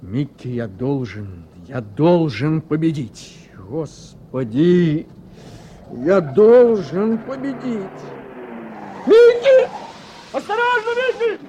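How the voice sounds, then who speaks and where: An actor in a Russian radio play voicing an embattled, blustering politician who is desperate and scheming, speaking emphatically and commandingly. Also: male voice, a little high, very animated, slow, warm tone, a little hiss or hum. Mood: frantic, driven.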